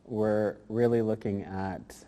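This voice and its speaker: A man with a low, dark and mellow voice talks through his answers in a thoughtful, meandering way, often restarting his sentences. He is recorded in a gallery space where a long reverb hangs behind his words. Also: fairly even intonation, takes it slowly. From grew up in Germany, Korea and the United States. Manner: thoughtful, rambling with fillers and restarts. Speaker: interviewed artist. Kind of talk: casual interview answers.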